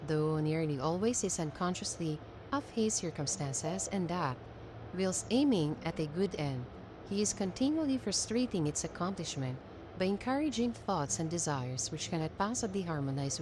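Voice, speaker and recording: Woman, slightly low pitch, read-aloud narration, measured formal phrasing, noisy rumbling recording. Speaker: reader of a self-help text. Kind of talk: read-aloud narration of an old-fashioned essay.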